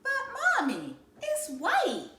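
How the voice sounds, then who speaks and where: A woman's voice: high-pitched, wildly swooping pitch, slow, a bit bright, roomy sound, a little hiss or hum, voice pushed up, heavily acted. A woman voicing a neat little girl who protests in a plaintive, doubtful way in a storybook. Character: little girl Joey, neat, doubtful, stubborn about an angel.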